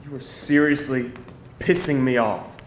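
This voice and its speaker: A man's voice: medium pitch, measured pace, lightly acted. A man playing an irritable, sardonic vampire with an exasperated, sarcastic manner in a live screenplay table read. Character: irritable, sardonic male vampire with an office job. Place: indoor live reading before an audience. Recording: roomy live venue, faint noise.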